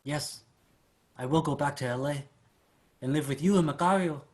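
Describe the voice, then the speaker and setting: A male actor plays a rough, proud drifter in a staged reading. His voice is a bit higher than most men's. He speaks plainly, like a stubborn elder brother. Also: moderate pace, lightly acted. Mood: heartfelt, resolute. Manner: plain-spoken, heartfelt, defiant when provoked. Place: online virtual staged reading.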